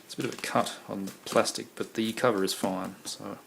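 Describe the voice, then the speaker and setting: Man, hoarse, deep gravelly voice, rambling, noisy recording. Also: steady tone, brisk. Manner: rambling, many ums and uhs. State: losing his voice. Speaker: video collector vlogger. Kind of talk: casual show-and-tell.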